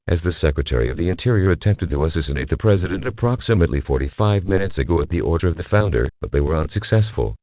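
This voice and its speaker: A man's voice: sits low, a little mellow, dry recording. A man playing a solemn vigilante who addresses the nation in a grave, formal broadcast speech. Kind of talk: scripted emergency broadcast speech. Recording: dull, lo-fi broadcast sound.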